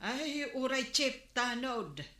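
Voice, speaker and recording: An actress playing a village captain's wife in a comedy drama who complains about a noisy neighbor. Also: female voice, high-pitched, sing-song, slow, pushed and bright, full character voice. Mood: complaining. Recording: echoey room recording.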